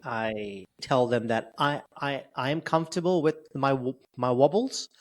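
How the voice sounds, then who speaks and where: A man's voice: medium pitch, unhurried. A man talking as an interview guest who stutters, with repeated sounds and blocks, speaking openly and with humor. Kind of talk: conversational interview.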